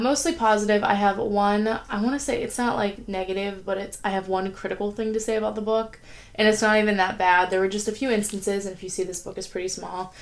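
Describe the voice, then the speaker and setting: A woman's voice: medium pitch, creaky. Young adult woman, casual at-home vlogger, chatty and rambling, a little sick and sniffly. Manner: chatty, rambling, frequent "like" fillers. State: sniffly with a sore throat. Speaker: writing vlogger. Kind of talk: casual vlog chat. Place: at home, indoors.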